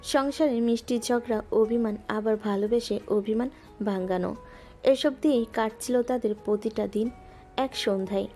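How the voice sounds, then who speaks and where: A woman gently narrating a scripted romantic audio story. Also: on the high side, moderate pace. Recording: music bed underneath.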